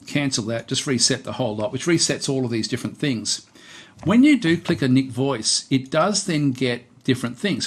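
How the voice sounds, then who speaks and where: A man giving a casual, unscripted software tutorial, hesitant and thinking aloud as he presents. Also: mid-range voice.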